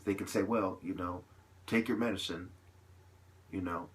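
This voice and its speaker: Low-voiced man in an emphatic monologue. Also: steady tone, fairly bright tone. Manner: rhetorical questions, emphatic repetition, halting phrasing. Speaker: vlogger delivering an opinion monologue. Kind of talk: impassioned unscripted monologue.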